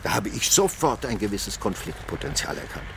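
An actor playing a coolly calculating male security consultant with a measured, persuasive manner in a radio drama. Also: fairly low pitch, big pitch swings, gravelly, a bit bright, a little hiss or hum, heavily acted. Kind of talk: radio drama narration and dialogue.

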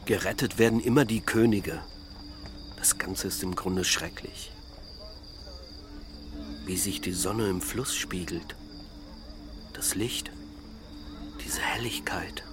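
Weary, low-voiced middle-aged husband. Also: male voice, takes it slowly, long pauses, noisy background. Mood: brooding. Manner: listless, brooding, short phrases. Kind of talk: radio drama acting.

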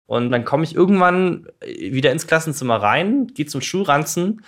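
A man's voice: on the high side, animated, moderate pace. A man in a casual conversation telling his own story, sounding nervous and self-conscious. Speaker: guest telling a story from school days.

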